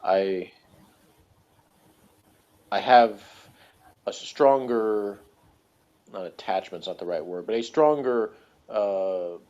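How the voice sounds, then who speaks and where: Male, deep register, tentative reviewer thinking out loud in relaxed discussion; slightly dull, low-fidelity audio. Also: slow, pauses often. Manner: hesitant, tentative, thinking aloud. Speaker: guest reviewer discussing TV characters. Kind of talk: casual analytical chat. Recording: dull lo-fi voice recording.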